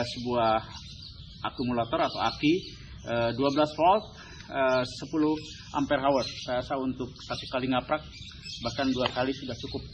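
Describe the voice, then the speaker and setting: A man with an Indonesian accent hosting a vlog, giving an informal, instructive hands-on explanation to camera. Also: mid-range voice. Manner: instructive, often tagging sentences with "ya". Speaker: vlog host demonstrating his radio gear. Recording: slightly muffled, clearly noisy.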